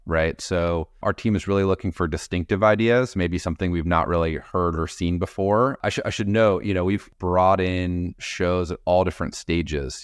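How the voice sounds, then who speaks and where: A man's voice: low-pitched, smooth, dry recording, studio quality. A man answering interview questions about his company, thoughtful, with fillers and restarts. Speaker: podcast network executive.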